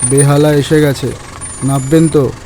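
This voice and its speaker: A man acts as a mysterious middle-aged taxi driver in an audio ghost story. His voice is dark and level, calm and cryptic, with faint vehicle noise behind it. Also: on the high side, a little slow, close to an everyday voice. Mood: cryptic, resigned.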